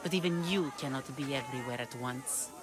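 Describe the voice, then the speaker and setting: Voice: mid-range voice, a little fast, close to an everyday voice. A performer playing a stern, scornful priestess in a video game, haughty and declamatory. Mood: haughty, cold. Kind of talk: video game cutscene acting.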